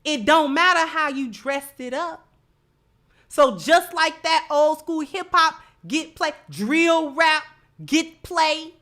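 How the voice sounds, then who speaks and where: A woman's voice: sits high, takes it slowly, fairly bright tone. A woman giving opinionated live commentary as a host, emphatic, repeating phrases and posing rhetorical questions. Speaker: livestream host.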